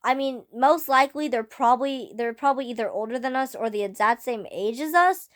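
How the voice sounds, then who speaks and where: A teenage girl ranting casually about a film to camera, rambling with many fillers, her voice slightly hoarse. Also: a little high, a little fast. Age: teens. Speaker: vlogger. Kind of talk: casual fan rant to camera.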